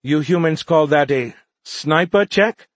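A man's voice: fairly high pitch, unhurried, no echo, pitched above the performer's own voice. A man voicing an earnest, formal young male alien liaison who is easily puzzled, in an audiobook. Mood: earnest, concerned. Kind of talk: audiobook character voice.

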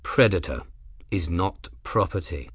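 Steely airship captain, very low, slow, husky, quiet and level; a man putting on the voice. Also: dry recording, clean studio sound, lightly acted. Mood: cold calm.

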